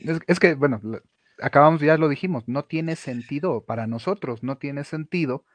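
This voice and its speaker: A man's voice: fairly high pitch, normal speed, no echo. A man co-hosting a casual podcast, agreeable and a bit hesitant. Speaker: podcast co-host. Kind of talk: casual discussion.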